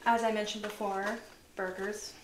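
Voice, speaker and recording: A woman vlogger chatting casually through a grocery haul, relaxed and full of fillers, sounding tired. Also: mid-range voice, normal speed. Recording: echoey room with noise.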